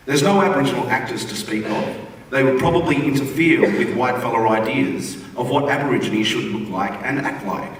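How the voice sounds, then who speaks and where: An Australian man reading a written humorous postcard aloud to an audience in a wry, conversational way. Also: medium pitch. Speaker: reader at a theatre forum. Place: large indoor theatre forum venue. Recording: echoey hall, distant mic, room noise.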